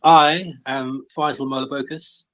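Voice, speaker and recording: A man's voice: mid-range voice, little pitch movement, measured pace, a bit bright, dull audio. A man introducing himself as a guest in casual chat.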